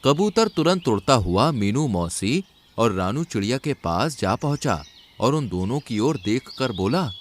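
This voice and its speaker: Animated male storyteller over background noise. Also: mid-range voice, unhurried. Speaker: storyteller narrator. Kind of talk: scripted children's cartoon story narration.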